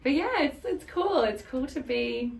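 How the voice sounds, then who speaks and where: Woman director, casual and animated, picked up at a distance in a noisy room. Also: high-pitched, measured pace. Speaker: audio drama director. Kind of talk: casual studio chat and direction. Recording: distant, echoey room, clear background noise.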